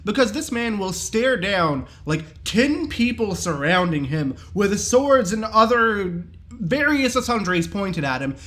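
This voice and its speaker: A man giving a casual, enthusiastic review in an animated, jokey, slangy way. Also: sits high, slow.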